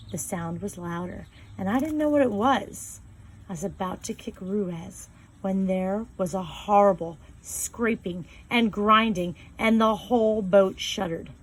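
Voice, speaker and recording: A woman reading a first-person novel aloud in a calm, measured storytelling voice, slowing down on key phrases. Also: mid-range voice, slight background noise, poor audio. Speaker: reader.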